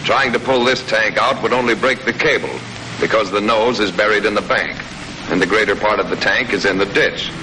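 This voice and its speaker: An American man reading scripted 1940s military training film narration in a clear, matter-of-fact, instructive manner. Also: lowish voice, brisk, bright, forceful tone. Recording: noisy old 1940s film soundtrack.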